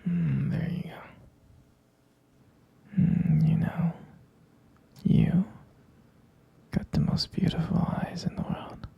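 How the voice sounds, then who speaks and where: A man playing a devoted, soothing expectant father in an intimate ASMR roleplay, murmuring affectionately in a soft, breathy, husky whisper. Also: on the high side, flat delivery, highs cut off, heavily acted. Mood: caring, soothing. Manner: slow, murmuring, reassuring, affectionate.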